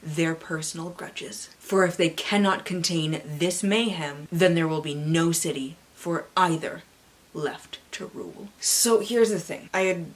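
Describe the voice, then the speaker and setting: A woman's voice: lowish voice, unhurried. A North American woman hosting a book vlog in a playful, theatrical manner, mixing casual chat with dramatic readings and asides. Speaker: book channel host. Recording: clearly audible background hiss.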